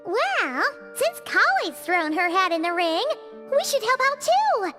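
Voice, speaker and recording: Squeaky tiny floating companion. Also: female voice, unhurried, exaggerated voice. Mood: chirpy. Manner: excitable, refers to herself in third person. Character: tiny floating female companion, chirpy and nosy. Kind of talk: video game dub. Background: game music.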